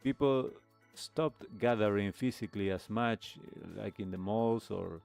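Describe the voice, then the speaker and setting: A man with a fairly low voice hosts a reflective radio segment. He speaks very slowly and deliberately, leaving pauses between phrases. Faint music plays behind him. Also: creaky, a little mellow. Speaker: radio show host. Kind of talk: reflective talk show monologue.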